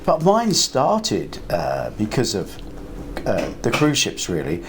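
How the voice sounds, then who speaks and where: A man's voice: mid-range voice, wildly swooping pitch, slow pace. An older man, a guest writer, telling casual anecdotes in a panel conversation. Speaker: interview guest, crime writer and filmmaker. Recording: noticeable ambient noise, live event.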